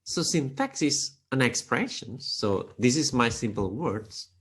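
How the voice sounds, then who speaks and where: A man with an Indonesian accent gives a programming tutorial in careful, non-native English, lecturer style. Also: slightly rough. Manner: slow, careful, with non-native grammar slips; lively intonation with sudden pitch rises.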